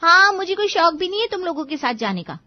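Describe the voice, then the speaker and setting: A woman's voice: very high voice, very animated, quick pace, dry recording, lo-fi sound, pitched above the performer's own voice. A woman voicing a spoiled, sulky teenage daughter in a cartoon moral story, whining and snapping petulantly. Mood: defiant.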